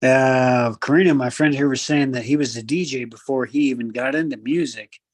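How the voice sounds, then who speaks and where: A man co-hosting a casual talk show, giving measured commentary with preacherly asides to the listeners. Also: medium pitch, fairly even intonation, dry recording. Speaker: radio show co-host.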